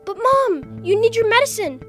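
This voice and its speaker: A woman's voice: extremely high pitch, big pitch swings, normal speed, fairly bright tone, soft background music, heavily acted. A woman voicing an innocent, outspoken young boy with a childlike, cheeky manner in an audio drama. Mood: cheeky, insistent.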